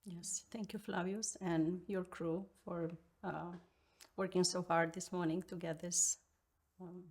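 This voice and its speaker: Slow, gravelly Romanian-accented woman. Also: on the low side, level tone, a little mellow. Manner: halting, reflective, many pauses and fillers. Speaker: guest. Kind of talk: interview storytelling.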